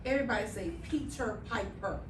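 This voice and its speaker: A woman's voice: mid-range voice, fairly quick, heavy background noise. Emphatic woman teaching a classroom. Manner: emphatic, checks in with students often. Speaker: middle school teacher. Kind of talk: classroom instruction. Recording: echoey classroom, mic far off.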